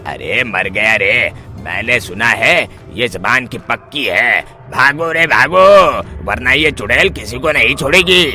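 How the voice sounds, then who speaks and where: Man as a bright, sharp, frightened village bridegroom. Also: mid-range voice, animated, a little slow, hardly any pauses, faint music behind. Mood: panicked. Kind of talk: cartoon moral-story voice acting.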